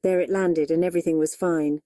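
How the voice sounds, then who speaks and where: A woman's voice: lowish voice, steady tone, fairly quick, mellow, no echo. A woman's text-to-speech voice reading a short demo sentence.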